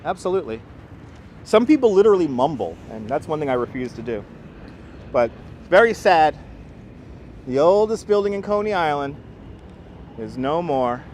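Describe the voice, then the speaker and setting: A man with a Brooklyn New York accent, chatting casually to live-stream viewers as a local guide, speaking clearly and deliberately. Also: a little high, sing-song, a little mellow. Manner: clear, deliberate enunciation, rambling. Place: outdoors on city streets by the seaside. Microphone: wireless clip-on mic. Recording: outdoor urban street noise.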